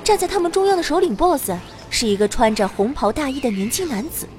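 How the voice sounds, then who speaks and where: A woman's voice: sits high, big pitch swings, brisk, darkish tone, faint music behind. A woman playing a young heroine who narrates her adventure in a dramatic scripted first-person style. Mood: observant.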